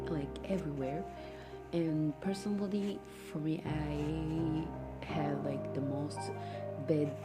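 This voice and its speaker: Slow, low-voiced Moroccan woman. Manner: slow, halting, frank. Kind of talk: personal testimony. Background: music.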